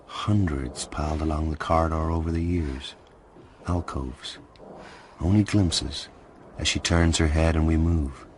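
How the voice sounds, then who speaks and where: An actor playing a watchful, brooding hacker who narrates a radio drama in a hushed, terse, intense first person. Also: male voice, a bass voice, a little slow, slight background noise.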